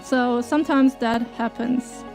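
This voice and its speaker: A woman's voice: on the high side, steady tone, unhurried, darkish tone, soft background music. A German woman streaming live from her home kitchen while baking, chatting casually with viewers and laughing often. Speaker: cooking streamer.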